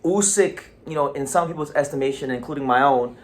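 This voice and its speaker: A man hosting a casual boxing talk show, talking in an animated way with frequent restarts and repeated words. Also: medium pitch, a little fast. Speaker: boxing channel host.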